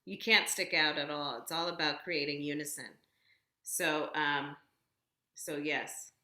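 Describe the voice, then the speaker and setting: A woman singer speaking as a guest, explaining things in a measured, conversational way. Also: sits low, steady tone, pushed and bright, reverberant. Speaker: guest vocalist. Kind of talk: conversational discussion of singing technique.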